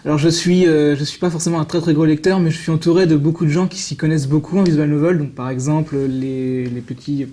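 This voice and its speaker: A man's voice: a little high, steady tone, quick pace, hardly any pauses, warm tone. A man speaking as an interview guest, explaining things in casual conversation.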